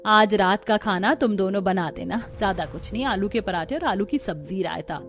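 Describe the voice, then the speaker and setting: A woman's voice: medium pitch, moderate pace, close to an everyday voice. A woman voicing a respectable, long-suffering older mother-in-law in a cartoon, speaking earnestly. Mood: instructing. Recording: music under the voice.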